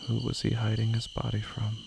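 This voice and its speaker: A man narrating scripted horror slowly, in a hushed, deliberate, ominous manner. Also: lowish voice, completely flat delivery, creaky, background noise. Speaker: horror fiction podcast narrator.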